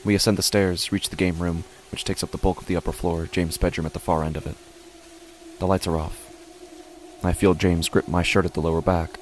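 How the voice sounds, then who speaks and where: A man playing an uneasy father who narrates his own horror story in a deliberate, measured way with dramatic pauses. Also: low-pitched, flat delivery, slight background noise, natural voice. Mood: grave, uneasy. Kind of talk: scripted first-person horror narration.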